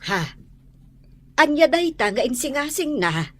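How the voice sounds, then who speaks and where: A woman's voice: high voice, sing-song, measured pace. A woman acting a caring, anxious rural mother in a radio drama, fretful and coaxing, with a Filipino accent. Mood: concerned, curious. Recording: radio drama with noisy ambience.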